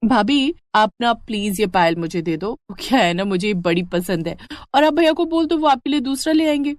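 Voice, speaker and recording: A woman's voice: medium pitch, expressive, normal speed, slight background noise, lightly acted. A woman voicing a covetous young college-going sister-in-law, wheedling and entitled, in a storybook drama.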